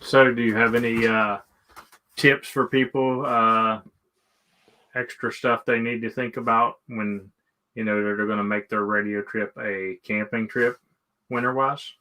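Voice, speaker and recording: A man's voice: medium pitch, little pitch movement, a little slow, bright, forceful tone, poor audio. A man with an American accent hosting a casual chat, asking questions in a folksy, plain-spoken way. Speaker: live stream host.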